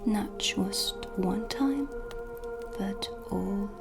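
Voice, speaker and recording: Woman playing a slow, whispering kindly witch. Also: medium pitch. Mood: admiring, earnest. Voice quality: breathy, whispery soft voice. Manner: gentle, unhurried, confiding. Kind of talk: ASMR cinematic roleplay. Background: ambient music.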